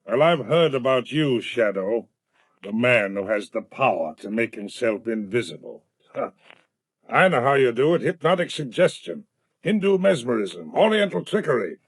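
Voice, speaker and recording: An actor playing a ruthless, domineering swindler, hard and impatient, in 1930s radio drama style. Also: male voice, medium pitch, sing-song, moderate pace, breathy edge, no echo. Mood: defiant, threatening. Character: ruthless, domineering swindler and would-be killer, adult man. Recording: vintage 1930s radio broadcast.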